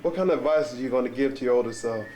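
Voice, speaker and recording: Man, interviewer, rapid questions, roomy recording. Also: medium pitch, mellow. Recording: echoey room, ambient noise.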